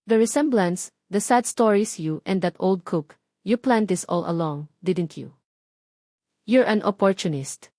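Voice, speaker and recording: A woman's voice: mid-range voice, expressive, unhurried, dark tone, dry recording, studio quality. A woman voicing a cold, furious young heir who makes clipped accusations and mixes in English phrases. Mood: cold fury.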